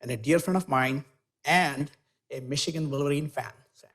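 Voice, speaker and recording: A man's voice: on the high side, moderate pace, a bit bright, a little hiss or hum. A man moderating a university lecture, giving a formal, eager introduction and then interviewing the guest, with small hesitations. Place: university auditorium, live audience.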